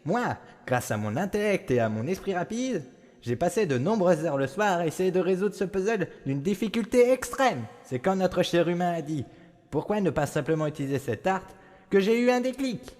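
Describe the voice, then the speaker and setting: A man playing a kindly, excitable skeleton brother in a comic dub, pompous and theatrical. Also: high voice, normal speed, big-room sound. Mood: proud, encouraging. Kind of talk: dramatic fan comic dub.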